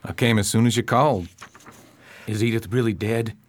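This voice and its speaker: A man's voice: fairly low pitch, steady tone, normal speed, natural voice. A man playing an earnest, determined, rather formal investigator in an amateur radio drama. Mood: concerned.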